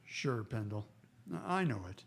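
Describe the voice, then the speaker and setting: An actor playing a firm, fair frontier marshal in a Western radio drama, plain-spoken and authoritative. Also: male voice, lowish voice, animated, unhurried. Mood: easy, curious.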